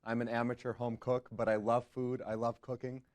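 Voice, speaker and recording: A man's voice: medium pitch, flat delivery, brisk, background noise. A man of thirty, an amateur home cook, chatting casually as a contestant in a group discussion.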